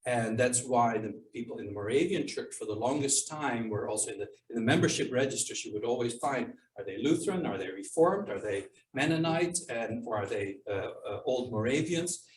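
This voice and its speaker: A man's voice: mid-range voice, animated, a little fast, few pauses. A man who is not a native English speaker, an author and archivist answering audience questions at a book launch, thoughtful and hesitant with frequent ums and restarts. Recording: echoey room, mic from far off, faint noise.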